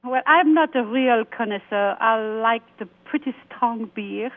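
A woman's voice: on the high side, unhurried. A woman calls in to a radio show, her mid-range voice squeezed through a tinny phone line. She talks eagerly and agrees readily with the host. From Belgium (Wallonia). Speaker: radio caller.

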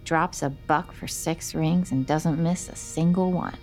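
Creaky, soothing, wry mother apparition. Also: low voice, fairly even intonation, slow, a little mellow, soft background music. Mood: tender, wistful. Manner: soothing, wry, matter-of-fact. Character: imagined apparition of a mother, calm, knowing, tender. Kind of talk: audio drama acting.

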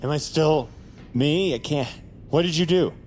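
A man's voice: a little high, measured pace, darkish tone, slight background noise, lightly acted. An actor playing a panicked, defiant man held captive, frantic and emotional, in an audio drama. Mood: desperate, shaken. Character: panicked, defiant male captive pleading on operating table. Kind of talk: audio fiction acting.

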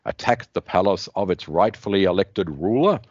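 A man's voice: sits low, expressive, moderate pace, darkish tone. A man doing a gloating villain warlord. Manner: gloating, menacing monologue. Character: villainous male alien-hating warlord. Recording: dull lo-fi dry recording.

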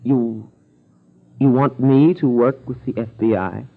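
A man's voice: mid-range voice, measured pace, creaky. An actor playing a wary, polite young male university student in a 1940s radio drama. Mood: wary, puzzled. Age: young adult. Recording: muffled 1940s radio recording.

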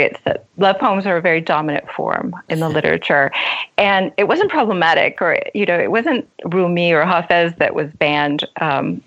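A woman speaking as a novelist and guest, thoughtful and articulate in a reflective literary interview. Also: lowish voice. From left Iran as a child and grew up in America. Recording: dull lo-fi sound.

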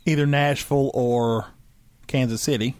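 A man's voice: mid-range voice, fairly even intonation, normal speed, a little mellow, clear, resonant tone, dry recording. A man chatting on a podcast mic in a dead, dry room. He rambles through plans and restarts his sentences a lot. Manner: rambling, restarts mid-sentence while planning aloud. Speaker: podcast co-host. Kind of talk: casual chat among friends.

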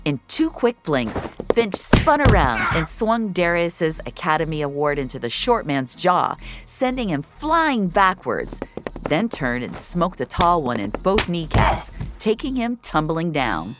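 A woman's voice: lowish voice, very animated, unhurried, background noise. A woman narrating scripted audio fiction as a storyteller.

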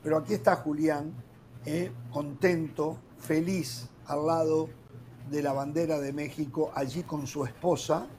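A man hosting a sports talk panel, animated and leaning on "eh" fillers as he leads a heated debate. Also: a little high, a little slow, noisy background. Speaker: sports talk show host.

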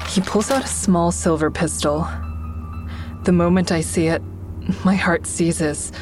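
An actress playing a traumatized, guarded woman who narrates and speaks in an audio drama with measured, introspective delivery. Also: on the low side, fairly even intonation, over music, lightly acted. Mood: tense, fearful. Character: Haven, traumatized woman survivor narrating, fearful, guarded, hardening. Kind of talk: first-person narration and dialogue in audio drama.

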